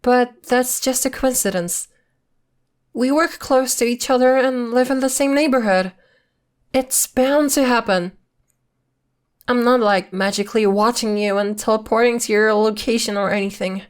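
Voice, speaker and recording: A woman's voice: fairly high pitch, fairly even intonation, normal speed, clean tone, close to an everyday voice. A woman acting in an ASMR roleplay as a playful, evasive girlfriend who is secretly a witch, talking intimately in a coy, teasing, casual way. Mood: playfully defensive. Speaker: voice actor.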